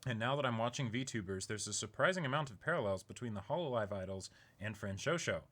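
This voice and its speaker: Young man with a bright voice. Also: on the low side, expressive, fast. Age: young adult. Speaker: YouTube unboxing host. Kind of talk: reading a viewer letter aloud, casual. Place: small indoor room, seated.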